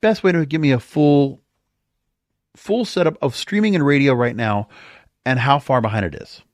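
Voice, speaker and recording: A man's voice: medium pitch, moderate pace, no room sound, clean studio sound. A man hosting a podcast, giving casual music chart commentary and thinking aloud as he reads out figures. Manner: off-the-cuff, thinking aloud while reading figures. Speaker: podcast host.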